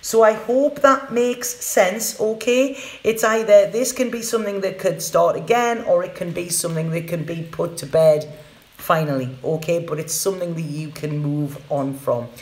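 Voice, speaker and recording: A woman's voice: lowish voice, moderate pace. A woman doing a live tarot reading for viewers, chatting casually and often ending her lines with "okay?". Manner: chatty, tags sentences with "okay?", repeats words. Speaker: tarot reader. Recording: roomy echoey room.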